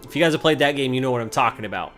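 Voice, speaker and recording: A man's voice: medium pitch, lively intonation, very fast, fairly bright tone. A man giving casual, conversational gameplay commentary as the host of a video game series. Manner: informal, conversational. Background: game music.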